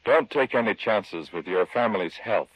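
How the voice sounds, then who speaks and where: A man announcing in a formal, measured old-time radio style. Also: lowish voice, animated, husky, dry recording. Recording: dull, dry old radio recording.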